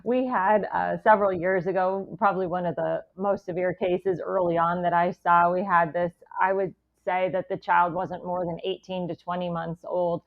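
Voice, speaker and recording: A woman's voice: on the low side, level tone, moderate pace, hardly any pauses. A woman speaking conversationally as a physician guest in an interview. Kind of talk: conversational medical anecdote and comment. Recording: slightly dull, lo-fi audio.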